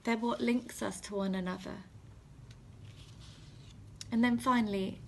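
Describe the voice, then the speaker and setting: A woman in her thirties with a husky, breathy voice, giving a gentle, reflective assembly talk as a reverend, measured and halting with pauses. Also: on the high side, fairly even intonation, a little hiss or hum. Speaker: reverend leading a school assembly. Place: small room at home. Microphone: phone or webcam at arm's length.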